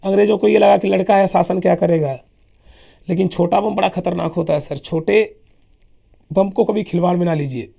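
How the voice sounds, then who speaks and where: A man with a sore throat teaching a lively history lesson for exams, emphatic and chatty, often calling his listeners sir. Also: sits high, normal speed. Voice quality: husky, gravelly. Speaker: exam coaching teacher. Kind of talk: lively classroom history lecture.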